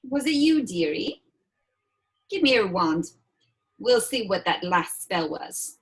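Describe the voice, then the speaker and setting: A woman playing a menacing, imperious witch villain in a script read, taunting with mock-sweet endearments and drawn-out words. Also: mid-range voice, sing-song, slightly rough, exaggerated voice. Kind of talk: table read of a film script.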